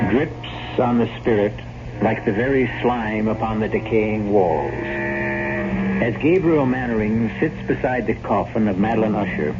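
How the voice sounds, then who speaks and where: A man narrating as host of an old-time radio drama in an ominous, theatrical, deliberate style. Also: fairly low pitch, background noise. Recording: muffled old radio recording. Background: loud music.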